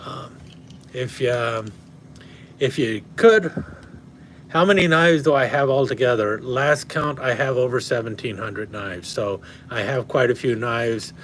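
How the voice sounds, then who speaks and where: A man rambles through a casual home livestream, full of ums and restarts. His mid-pitched voice is wearing thin and a little hoarse. A faint hum sits under the recording. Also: a little slow, a bit bright. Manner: rambling, many fillers and restarts. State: slightly hoarse, losing his voice. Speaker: knife collector livestream host. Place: at home indoors.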